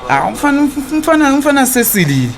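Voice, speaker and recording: Sweet-talking Zimbabwean cheating husband. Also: male voice, very high voice, sing-song, fast, exaggerated voice. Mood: flustered, pleading. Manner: sweet-talking, stammering when cornered. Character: smooth-talking, cheating husband wooing his young lover, evasive debtor. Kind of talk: radio drama acting.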